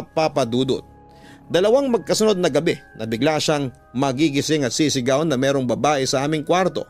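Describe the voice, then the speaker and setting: A Filipino man tells a scripted story in the first person, as on a radio drama program. His pitch sits in the middle for a man and his delivery is measured and dramatic. Music plays softly behind him. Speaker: radio drama host narrating listener letters. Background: background music.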